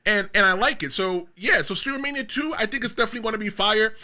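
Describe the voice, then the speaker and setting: A middle-aged man hosting a wrestling podcast, telling rambling stories in a casual chat and laughing loudly. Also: high voice, fast. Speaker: podcast host.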